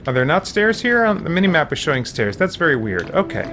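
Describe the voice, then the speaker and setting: A man giving casual let's play commentary, musing in a halting way with repeated restarts. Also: fairly high pitch, sing-song, loud music behind the voice.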